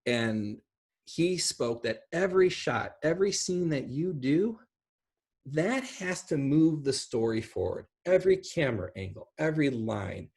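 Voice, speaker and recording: A man's voice: mid-range voice, slightly rough. A middle-aged man talking casually and emphatically, explaining things on a video call. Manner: emphatic, animated. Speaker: speaker and co-host of online film panel. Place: room at home, indoors.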